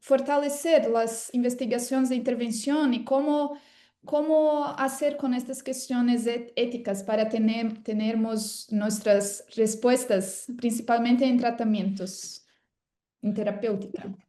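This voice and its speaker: A Brazilian woman and native Portuguese speaker asking a question in Spanish over a video call, hesitant and full of drawn-out "ah" fillers. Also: on the high side, mellow, reverberant. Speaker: course participant asking a question.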